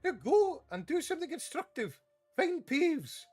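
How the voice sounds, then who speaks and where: A man's voice: extremely high pitch, sing-song, brisk, faint music behind, pitched above the performer's own voice, full character voice. A man voicing a stern, commanding elderly female professor who barks orders in clipped sentences. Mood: exasperated. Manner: clipped, imperious, shouting orders.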